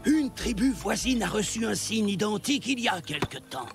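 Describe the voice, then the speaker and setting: A man's voice: high-pitched, expressive, moderate pace, loud music behind the voice. A man voicing a wise, grave village chief in a prehistoric children's cartoon, solemn, theatrical and moralizing. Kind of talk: animated children's cartoon dub acting.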